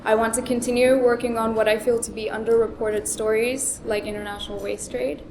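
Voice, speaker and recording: A woman's voice: fairly high pitch, level tone, darkish tone, a little hiss or hum. Young woman reading her award speech, earnest, echoey room, busy background. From Sri Lanka. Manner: earnest, measured, reads from prepared text. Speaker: award recipient, journalism student. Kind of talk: prepared acceptance speech. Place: luncheon hall, indoors. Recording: echoey banquet room, audible ambience.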